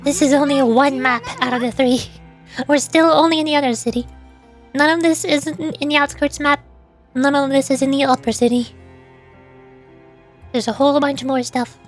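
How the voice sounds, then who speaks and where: High-voiced woman chatting casually on stream. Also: normal speed, pauses often, soft background music. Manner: chatty, explanatory, playful asides. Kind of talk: casual rambling live-stream commentary.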